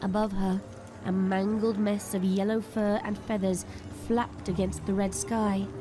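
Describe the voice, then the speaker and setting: A woman's voice: mid-range voice, little pitch movement, unhurried, noisy background. A woman narrator delivering dramatic scripted storytelling for an audio drama.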